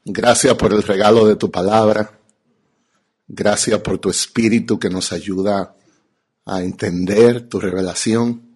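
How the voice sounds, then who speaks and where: A man with a Dominican Spanish accent preaching and teaching as a pastor, emphatic, with rhetorical questions and deliberate pauses. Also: on the low side, fairly even intonation, a little mellow, slightly rough. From Dominican Republic. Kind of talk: teaching sermon to an audience.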